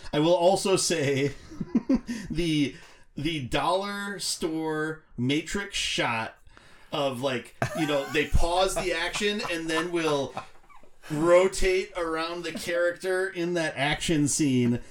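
A man's voice: on the high side, slow pace, a bit bright. A man giving casual, blunt and critical film opinions as a podcast panelist.

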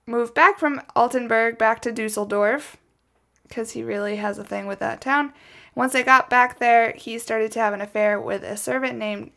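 Chatty woman with a creaky voice. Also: a little high, fairly bright tone. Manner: chatty, wry asides. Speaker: podcast host. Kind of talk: casual true crime storytelling from notes.

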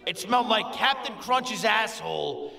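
An actor playing a crude, boastful uncle in a comic audio drama, bombastic and rambling. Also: male voice, high-pitched, animated, measured pace, vocal fry, a crowd in the background, exaggerated voice. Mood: rambling, defensive. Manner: foul-mouthed, bombastic, rambling. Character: crude, boastful, smelly uncle in theater troupe. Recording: echoey hall with audience noise.

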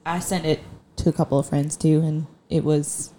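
A woman with a deep voice on a paranormal investigation team, talking casually and rambling a bit. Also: level tone, normal speed, dark tone. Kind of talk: casual group discussion.

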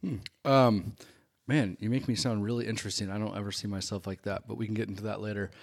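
A man's voice: lowish voice, steady tone, fast talker, vocal fry. A man in his forties, a photographer, chatting as a podcast guest in a friendly, self-deprecating way with light jokes. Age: 40s. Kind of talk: casual conversational interview.

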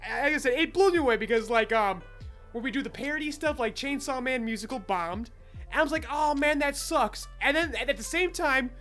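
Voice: high voice, animated, fairly quick, fairly bright tone. Emphatic podcast host over music. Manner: emphatic, profane. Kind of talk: casual podcast chat.

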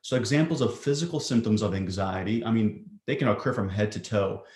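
A man with a low voice explains anxiety as a psychiatrist, talking in a measured way with many ums and uhs. His voice rings in an echoey room. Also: a little mellow. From Greece. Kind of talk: explanatory interview answers.

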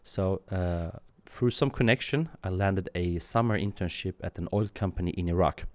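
A man in his thirties from Sweden talks in a deep, low voice. He answers in a thoughtful, halting way, full of fillers and restarts. The sound is close and dry. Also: a little mellow, dry recording. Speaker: guest. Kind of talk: interview answers.

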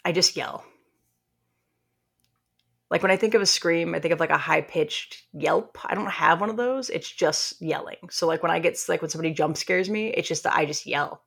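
A woman podcast co-host with a lowish voice and strong vocal fry. She talks casually, telling things in loose, informal bursts and voicing reactions as she goes. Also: moderate pace, a bit bright. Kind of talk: casual chat.

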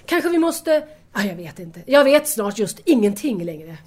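A woman's voice: mid-range voice, big pitch swings, a little mellow. A young actress playing an anxious, emotional woman in wartime Paris in an audio drama, speaking earnestly. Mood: agitated, afraid. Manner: earnest, agitated.

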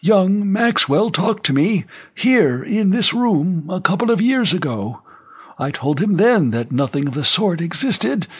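A man's voice: sits high, big pitch swings, measured pace, slightly rough, pitched above the performer's own voice, full character voice. A man voicing a frail, very old retired general in an audiobook, issuing formal, emphatic denials with haughty dismissal. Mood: dismissive, accusing. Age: over 100. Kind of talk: audiobook character voice.

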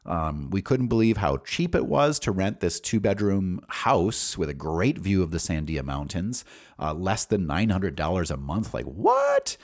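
An American man with a low-pitched voice tells autobiographical stories as a podcast host. His delivery is casual and candid, wandering as he thinks aloud, with loose, profane phrasing. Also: wildly swooping pitch, unhurried, gravelly.

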